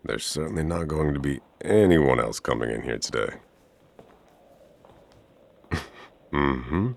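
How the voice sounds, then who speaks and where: Man acting as a male art professor, extremely low pitch, heavy vocal fry, charming, playful, flirty, easygoing, intimate ASMR roleplay. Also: full character voice. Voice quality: very deep, gravelly.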